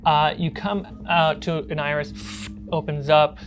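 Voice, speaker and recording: Low-voiced woman running a tabletop horror game. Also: steady tone, measured pace, noisy background. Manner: informal, descriptive storytelling. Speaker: game master. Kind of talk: narrating a tabletop horror role-playing game.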